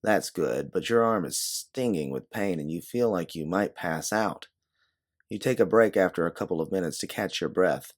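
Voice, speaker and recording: A man's voice: low voice, expressive, normal speed. A man reading a scripted second-person story in a dramatic narrating style. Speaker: narrator of an original audio story.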